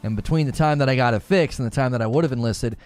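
A man's voice: medium pitch, very fast, darkish tone, soft background music. Chatty man hosting a stream. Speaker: streamer and show host. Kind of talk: casual livestream chat.

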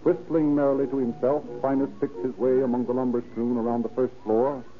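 A man's voice: mid-range voice, level tone, a little fast. A man announcing and narrating in an emphatic, formal, scripted 1930s radio style. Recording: tinny 1930s radio recording with music.

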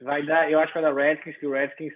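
Casual male co-host, husky, phone-quality audio, loose joking delivery. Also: a little high, little pitch movement, fast. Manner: joking, rambling with restarts. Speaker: podcast co-host, NFL picks. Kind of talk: casual sports chat. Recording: tinny phone-like line.

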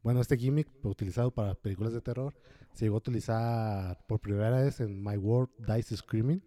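A man talks in a very dark, mellow voice, unhurried and relaxed. He co-hosts a casual podcast, explains each point at length and fills the gaps with easy filler words. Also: fairly low pitch. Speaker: podcast co-host. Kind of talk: casual podcast chat about horror films.

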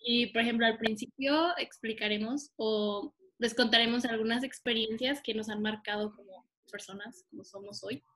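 Young girl on a podcast, bright high voice, giggles a lot, lots of ums. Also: a little hiss or hum. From Mexico. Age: young adult. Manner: giggly, hesitant, with many fillers. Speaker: podcast co-host. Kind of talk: casual podcast chat. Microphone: remote call recording.

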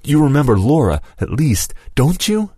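A man voicing Lewis, an angry, vengeful former comrade who shouts accusations and whose voice cracks. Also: medium pitch, very animated, moderate pace, breathy edge, no room sound, studio quality, pitched above the performer's own voice. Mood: furious shouting.

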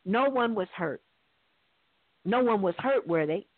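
A story reader voicing a gentle, concerned mother with a questioning manner. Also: medium pitch, lively intonation, a little slow, no room sound. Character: concerned, gentle mother, anxious about harm. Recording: thin, dry phone-line sound.

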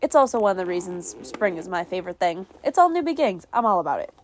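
A woman's voice: on the low side, very animated, quick pace, slight background noise. A young woman hosting her own podcast, rambling in a chatty, self-mocking way while stuffy with a cold. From New England, US. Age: young adult. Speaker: podcast host. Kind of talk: casual solo podcast chat.

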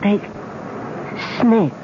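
An actor playing a weak, dying foreign king who speaks halting broken English in a 1970s radio drama. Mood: weak, urgent. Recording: muffled, noisy old radio broadcast recording.

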